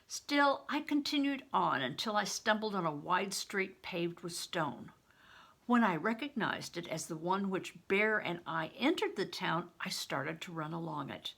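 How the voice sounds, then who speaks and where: A woman's voice: lowish voice, expressive, a little slow, vocal fry, fairly bright tone. An older woman, a retired reading teacher, reading a story aloud with dramatic, emphatic expression.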